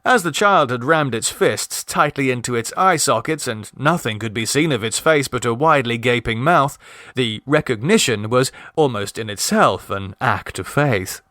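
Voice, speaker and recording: A man's voice: medium pitch, lively intonation, normal speed, sharp tone, dry recording. A man reading a classic short story aloud with wry, theatrical storytelling. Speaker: audiobook narrator.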